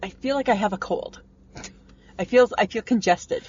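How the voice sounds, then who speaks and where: A woman's voice: a little high, moderate pace, darkish tone, breathy edge. A woman in her forties co-hosting a podcast in casual, playful chat, repeating herself and laughing often. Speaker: podcast co-host. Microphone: earbud mic. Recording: dull lo-fi podcast audio with faint noise.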